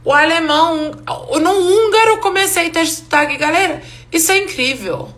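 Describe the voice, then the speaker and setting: A woman's voice: extremely high pitch, animated, moderate pace, a bit bright. A woman from Romania, a native Hungarian speaker with a Hungarian accent, teaching a live online language class, switching between Portuguese and English and laughing often.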